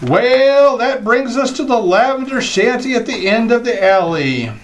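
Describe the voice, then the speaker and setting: A man's voice: extremely high pitch, expressive, a little slow, background noise. A man playing an inquisitive roving host and straight man in an old-time radio comedy, echoing what he hears. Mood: inquisitive, wry. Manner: inquisitive, echoes others' lines.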